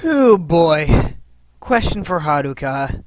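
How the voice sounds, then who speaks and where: A man presents a question segment in a very animated voice that swings widely in pitch. He is playful and theatrical, and the recording is dry. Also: slow, no echo. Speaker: host of a fan Q and A.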